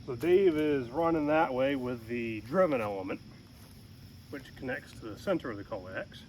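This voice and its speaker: Man, medium pitch, casual how-to chat, dry teasing manner, outdoors, faint background insect noise. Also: lively intonation, normal speed, a little mellow, poor audio. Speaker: amateur radio hobbyist hosting a homestead vlog. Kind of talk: casual chat and narrated how-to while working. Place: outdoors at a rural camp in woods.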